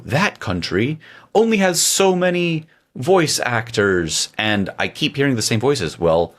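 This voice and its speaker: Deep-voiced joking podcast co-host man. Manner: playful, jokey, tangents. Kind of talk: casual tech chat.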